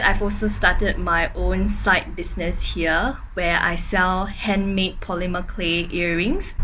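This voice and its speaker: A woman's voice: mid-range voice, a little hiss or hum, low-quality recording. A young adult woman with a Singaporean accent, talking casually to camera in a vlog at home. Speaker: vlogger. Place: indoors in an open-plan apartment living room and kitchen.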